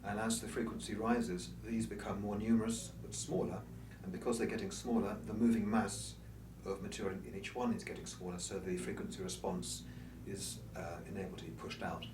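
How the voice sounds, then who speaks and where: A man's voice: fairly low pitch, level tone, a little fast, few pauses, noisy background. A man giving an informal, explanatory technical product presentation as an exhibitor at a show. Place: room at an audio show. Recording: roomy, distant mic, music behind.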